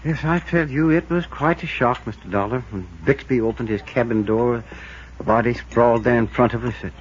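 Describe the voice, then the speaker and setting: An actor playing a formal, pompous and touchy gentleman cabin buyer in 1950s radio style. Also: mid-range voice, a little fast, lightly acted. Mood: shaken, formal. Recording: muffled, hissy 1950s radio recording.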